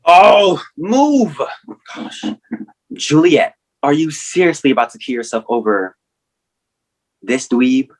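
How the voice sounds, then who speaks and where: A man acting as a brash, loyal buddy in a stage comedy. He talks slowly with big swings in pitch, blunt and sardonic in a casual way. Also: animated, heavily acted, low-quality recording. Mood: exasperated. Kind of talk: comic staged-reading acting.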